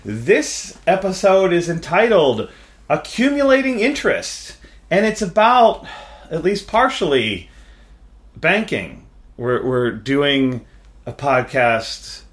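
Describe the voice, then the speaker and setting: A man's voice: a little high, big pitch swings, slow, long pauses. A man hosting a casual podcast conversation, talking in a wry, rambling, self-deprecating way. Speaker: podcast host.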